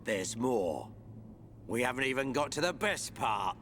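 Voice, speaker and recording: A man's voice: mid-range voice, expressive, unhurried, noisy background, lightly acted. A man voicing a mocking, callous traitor in a video game, sneering and taunting. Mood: mocking, gloating. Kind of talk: video game cutscene acting.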